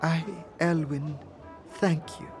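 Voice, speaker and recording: A woman's voice: low voice, flat delivery, takes it slowly, darkish tone, faint music behind. An actress playing a reserved otherworldly woman who speaks in a stilted, careful, formal way in an audio drama. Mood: reserved courtesy. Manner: stilted, careful, formal, repeats own name. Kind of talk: scripted audio drama acting.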